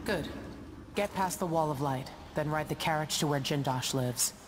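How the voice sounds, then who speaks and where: A woman playing a gruff, wary middle-aged ship captain in a video game, with terse, guarded lines. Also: sits low, normal speed, noisy background, close to an everyday voice. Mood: businesslike. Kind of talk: video game cutscene acting.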